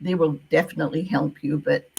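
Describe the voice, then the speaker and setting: A woman's voice: deep voice, steady tone, fairly quick, vocal fry, mellow, a little hiss or hum, rough recording. A retired woman from Scotland with a Scottish accent, a former visa officer giving emphatic advice in an interview over a video call. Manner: emphatic, repeats key advice.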